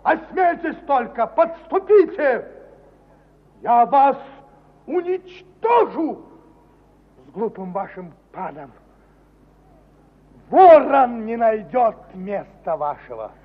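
An actor in a mid-century radio play playing a touchy, pompous provincial gentleman who speaks in an ornate, indignant manner. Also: extremely high pitch, sing-song, takes it slowly, long pauses, exaggerated voice. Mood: outraged. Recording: dull 1950 radio recording.

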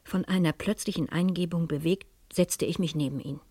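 A woman's voice: on the low side, fairly even intonation, moderate pace, warm tone, dry recording, lightly acted. An actress playing a determined, inquisitive woman sleuth in a radio crime drama, speaking formally and probingly. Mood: pensive, tense. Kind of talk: radio crime drama, dialogue and first-person narration.

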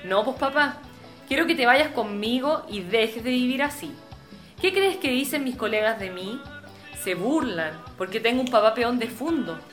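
A woman's voice: a little high, animated, moderate pace, soft background music, natural voice. A woman playing an earnest, insistent, status-conscious professional daughter with a Chilean accent, in a radio drama. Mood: exasperated.

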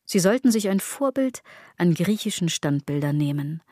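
A woman's voice: fairly low pitch, lively intonation, normal speed, dark tone, no room sound, studio quality. An actress playing a self-critical woman who muses ironically in a literary radio drama monologue. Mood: ironic musing. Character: self-critical woman brooding obsessively over clothes, body and gods.

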